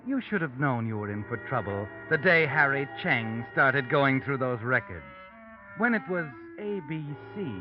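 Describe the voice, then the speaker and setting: Sardonic all-knowing noir radio narrator, swooping delivery. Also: male voice, mid-range voice. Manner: taunting, ominous, dramatic. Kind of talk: 1940s radio mystery narration. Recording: muffled old radio recording, music behind.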